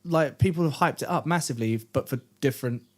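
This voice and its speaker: A man with a medium-pitched voice talks casually as a podcast co-host. His delivery is conversational and unscripted, as in a group chat. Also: fast talker.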